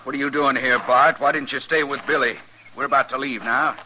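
A man's voice: mid-range voice, steady tone, a little fast, pushed and bright, dull audio, slight background noise. An actor playing a bitter, belligerent frontier father in a radio western, with a folksy, combative way of talking. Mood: impatient. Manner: folksy rural drawl, combative. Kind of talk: 1950s radio western acting.

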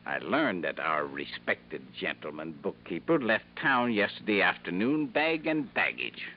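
An actor playing a pompous, indignant company boss in a 1950s radio drama, formal and clipped in manner. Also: male voice, low voice, big pitch swings, unhurried. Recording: muffled 1950s radio recording, faint hum.

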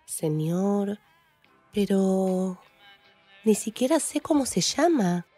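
A woman's voice: medium pitch, expressive, takes it slowly, warm tone, faint music behind. A woman with an Argentine accent playing a coy, flirtatious, enticing neighbour in a radio play. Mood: coy, inviting.

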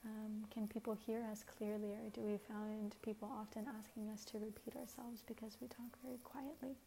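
A woman with a very soft voice giving a gentle, reflective spiritual talk as a host, often pausing with fillers. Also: medium pitch, flat delivery, poor audio. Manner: gentle, hesitant, many fillers.

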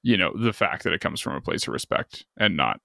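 A man's voice: sits low, sing-song, fairly quick, gravelly, pushed and bright, no room sound, studio quality. A man hosting a casual chat, laid-back and full of fillers. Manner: laid-back, filler-heavy, says "like" a lot.

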